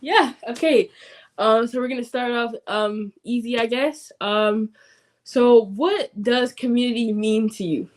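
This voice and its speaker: A woman's voice: fairly high pitch, a little slow. A teenage girl hosting a casual podcast interview, hesitant and full of ums and likes. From Nigerian family background. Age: teens. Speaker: podcast host.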